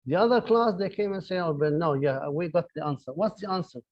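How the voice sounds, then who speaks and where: A middle-aged man with an Arabic accent delivers an academic lecture, stumbling through fillers and repeated words. His voice sits fairly high for a man. The recording is dull and lo-fi. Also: a little fast. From Libya. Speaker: university professor. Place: online lecture. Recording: dull lo-fi audio.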